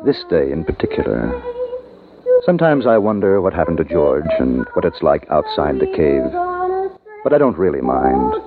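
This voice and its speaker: An actor playing a wistful, courteous grown man narrating his boyhood memories in measured, literary 1950s radio style. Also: a bass voice, very animated, phone-quality sound, full character voice. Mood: nostalgic. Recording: band-limited 1950s radio recording, loud music.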